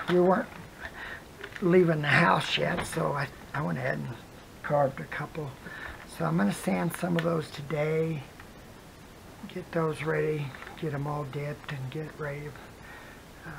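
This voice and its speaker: An adult craft vlogger chatting casually while working, with a hoarse voice that keeps cutting in and out, rambling softly and drifting into whispers. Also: medium pitch, steady tone, measured pace, noisy background.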